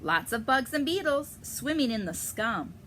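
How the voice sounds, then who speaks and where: A bright, bossy mother duck from a storybook, acted out by a woman reader. The voice jumps high and swoops as it gives brisk, watchful orders and emphatic quacks. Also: very animated, a little hiss or hum, full character voice. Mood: coaxing.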